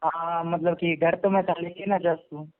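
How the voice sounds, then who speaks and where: A man's voice: a little high, steady tone, moderate pace. A man with a Haryanvi accent in an informal domestic argument on a phone call, argumentative and repeating himself. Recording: muffled narrowband phone call recording.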